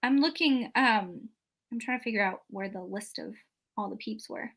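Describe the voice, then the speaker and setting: Woman, book show co-host, casual chat, animated, bright voice, fairly high. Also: normal speed. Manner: chatty and animated, lots of "like" and "um". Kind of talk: casual chat between friends.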